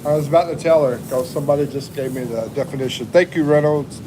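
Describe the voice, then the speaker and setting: A man's voice: on the high side, quick pace. A man in his fifties hosting a casual livestream, chatting and reading viewer comments aloud, hedging often with "like" and "I think". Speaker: livestream host. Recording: loud traffic noise behind.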